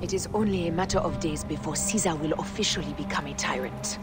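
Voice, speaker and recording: A woman voicing a bold, sharp-tongued warrior in a video game, commanding, over loud music and sea battle. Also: low voice, fast talker, close to an everyday voice. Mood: grave. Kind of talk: video game dramatic acting.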